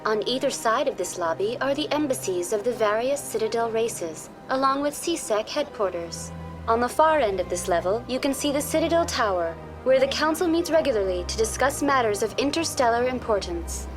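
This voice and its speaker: A woman's voice: on the high side, animated, moderate pace, over music, natural voice. A woman voicing a polite, programmed virtual intelligence tour guide in a video game, speaking formally and precisely. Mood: polite, informative. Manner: formal, courteous, precisely worded. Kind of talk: scripted informational video game dialogue.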